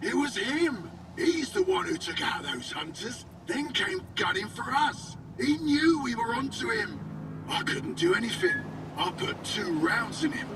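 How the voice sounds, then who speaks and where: An actor playing a wounded, desperate mercenary in a video game, strained and in pain. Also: male voice, high-pitched, far from the mic, heavy background noise. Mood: panicked, desperate. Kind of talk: dramatic video game acting.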